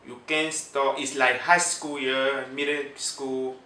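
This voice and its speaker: A young man from Cameroon with a Cameroonian French accent, answering interview questions in a slow, halting way with many pauses and restarts. French is his first language. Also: mid-range voice, level tone, bright, forceful tone, echoey room, recorded from a distance.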